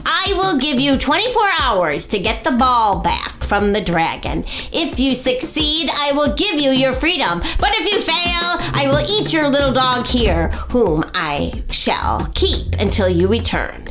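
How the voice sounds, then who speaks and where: A woman's voice: sits high, sing-song, measured pace, hardly any pauses, music in the background. A woman voicing a scheming, gleeful old witch who eats children, menacing and commanding, in a children's storybook.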